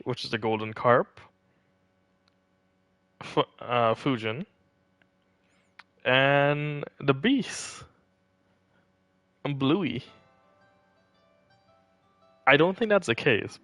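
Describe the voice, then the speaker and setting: A man's voice: medium pitch, lively intonation, takes it slowly, long pauses, sharp tone, no room sound. Guy streaming a game, chatting casually, dry mic, faint music behind. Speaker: game livestreamer. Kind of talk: casual livestream commentary.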